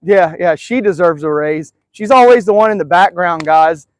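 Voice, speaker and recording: A man with a fairly high voice talks casually to his viewers from outside in his yard. He rambles in an easygoing way, with plenty of filler words. His voice sounds dry and close, with no room echo. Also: normal speed. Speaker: live stream host. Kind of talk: casual live-stream chat. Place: outdoors in a backyard.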